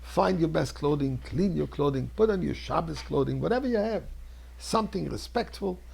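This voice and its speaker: A male storyteller plays an orphanage director in a sermon tale, solemn and instructive. The voice is very raspy and creaky, the phrasing deliberate. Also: on the high side, lively intonation, darkish tone, pitched above the performer's own voice, full character voice. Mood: instructing.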